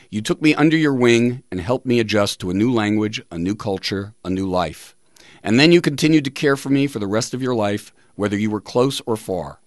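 A middle-aged man hosting talk radio in a measured, deliberate way, sometimes reading tributes aloud. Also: mid-range voice, no room sound, clean studio sound. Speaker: radio show host.

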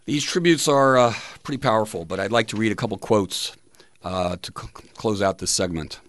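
Male radio host, lowish pitch, measured emphatic reading delivery, close and dry studio mic. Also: fairly bright tone, dry recording. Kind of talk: reading listener tributes and quotes on a talk show.

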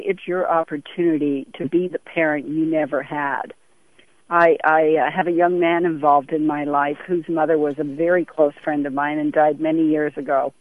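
A woman's voice: low-pitched, no room sound. Tinny phone-line woman caller in her sixties. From Europe. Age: 60s. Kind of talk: earnest conversational phone call. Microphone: telephone.

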